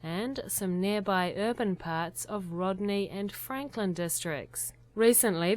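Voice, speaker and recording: Woman reading short news, then interviewing. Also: medium pitch. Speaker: host and news reader.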